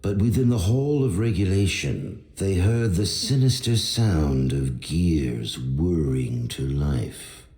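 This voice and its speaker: A man's voice: deep voice, slow, few pauses, warm tone. A man playing a grave storyteller, delivering dramatic scripted narration for a fantasy game. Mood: ominous storytelling.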